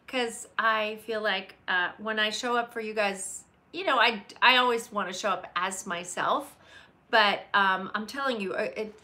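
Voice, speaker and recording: Slow-talking woman in her fifties, bright. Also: medium pitch. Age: 50s. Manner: chatty, warm greetings to viewers by name, candid. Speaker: livestream host. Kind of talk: casual live chat greeting viewers. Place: home room with leather chair by window. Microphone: webcam at desk.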